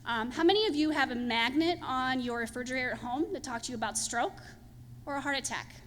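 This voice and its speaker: A woman's voice: high voice, a little fast, a bit bright. A woman panelist giving earnest remarks with many fillers at a conference, mic in hand. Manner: earnest, filler-heavy presenting. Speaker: hospital panelist. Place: conference hall. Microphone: handheld microphone. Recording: echoey hall, faint noise.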